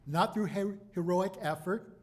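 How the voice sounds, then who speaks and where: Slow, deliberate male preacher. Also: high voice, darkish tone. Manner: deliberate, emphatic phrasing with dramatic pauses. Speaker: pastor preaching. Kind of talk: sermon.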